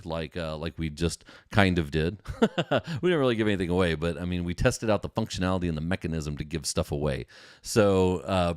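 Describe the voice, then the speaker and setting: A man's voice: low voice, no echo, clean studio sound. A middle-aged American man hosting a podcast in a casual, enthusiastic, slangy solo chat. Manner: informal, enthusiastic, slangy, chatty. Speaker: podcast host.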